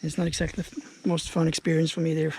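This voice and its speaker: A man with a fairly high voice, thinking aloud in an easygoing way as he films himself outdoors. A faint outdoor hiss sits under his voice, picked up by the camera mic. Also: quick pace, creaky. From Norway. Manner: relaxed, chatty, thinking aloud. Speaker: travel vlogger. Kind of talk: casual travel commentary to camera. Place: outdoors at a jungle elephant camp.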